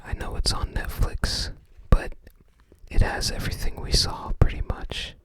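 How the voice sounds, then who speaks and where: An ASMR creator whispering in short, hesitant, rambling phrases, close to the microphone. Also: unhurried. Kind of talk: whispered ASMR book browsing.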